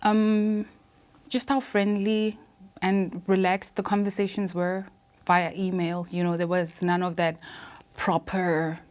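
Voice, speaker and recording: A woman in her thirties speaks with a South African accent in a fairly low register. She answers interview questions conversationally, as a guest describing her own working life. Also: little pitch movement, takes it slowly, a little mellow. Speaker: interview guest, air traffic controller.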